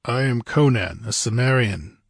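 A man voicing a gruff barbarian adventurer in a fantasy audiobook, terse and suspicious. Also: mid-range voice, expressive, normal speed, no room sound, studio quality. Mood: blunt. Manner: terse, growling.

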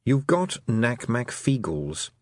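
A man voicing a small, knowing talking toad in a storybook, with a measured, matter-of-fact way of explaining. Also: mid-range voice, very animated, darkish tone, no room sound, studio quality.

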